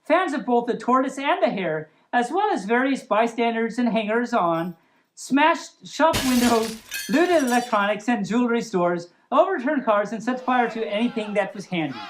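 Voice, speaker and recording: Female storyteller, fairly high and highly animated, silly and expressive, for a playful read-aloud. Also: normal speed. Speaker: storyteller, host of a storytime series. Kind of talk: storybook reading with playful ad-libbed asides.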